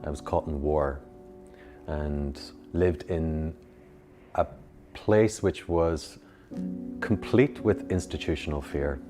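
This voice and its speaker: A man with a Belfast accent, a meditation teacher, speaking slowly and with long pauses. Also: a bass voice, dark tone, soft background music. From Northern Ireland. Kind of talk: reflective personal account.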